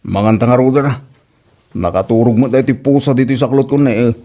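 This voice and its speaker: A man's voice: medium pitch, fairly even intonation, fairly quick, dark tone, lightly acted. A man acting a fussy, grumbling husband and protective father in a comedy drama, with an Ilocano accent and exclamatory, repetitive interjections. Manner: exclamatory, repeating short interjections.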